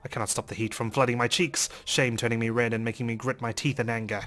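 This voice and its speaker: Expressive male let's player over game music. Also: mid-range voice, fast talker. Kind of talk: dramatic read-aloud of a visual novel.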